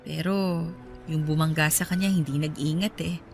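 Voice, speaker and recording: A soft-spoken grieving woman. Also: low voice, fairly even intonation, normal speed, soft background music. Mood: wistful. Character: grieving woman, gentle and wistful. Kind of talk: radio drama acting.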